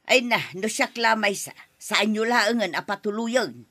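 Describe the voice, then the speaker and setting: A woman playing a bright, questioning relative. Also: a little high, unhurried, dry recording. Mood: concerned. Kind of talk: Ilocano radio drama acting.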